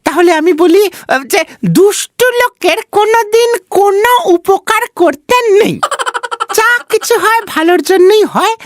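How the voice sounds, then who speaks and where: A performer voicing a clever, teasing cartoon fox with a squeaky childlike voice and repetitive, drawn-out talk. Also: no room sound. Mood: smug, firm. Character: clever fox playing dumb, teasing and self-assured. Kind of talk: animated moral fable dialogue. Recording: music under the voice.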